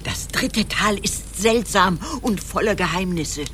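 An actress playing a grave, protective goddess of the hearth fire, speaking solemnly and expressively in a radio drama. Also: female voice, mid-range voice, unhurried, background noise, lightly acted.

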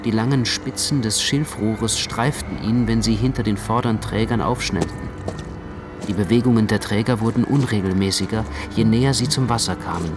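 Low-voiced male radio play narrator over music. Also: normal speed.

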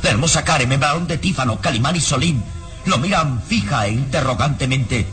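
A man acting as the solemn, suspenseful narrator of an old radio drama, in a measured delivery. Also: medium pitch, animated, a bit bright, lightly acted. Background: dramatic music.

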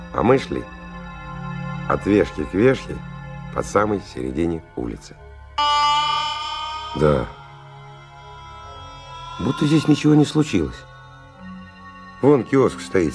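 A man's voice: low voice, full character voice. An actor playing a tough, cynical stalker who tells his story in a colloquial, sardonic radio-drama monologue with dramatic swings. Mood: sardonic, animated. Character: tough, cynical male stalker narrating his dangerous expedition. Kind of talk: 1990 radio drama first-person monologue. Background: music.

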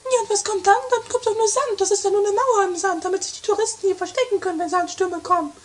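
A woman's voice: very high voice, steady tone, fast talker. A woman playing a naive, chatty, argumentative sister in an amateur eighties comedy radio play. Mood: naive musing.

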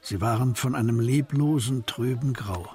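A man narrating a crime radio play as scripted literary storytelling, in a measured manner. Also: medium pitch, darkish tone.

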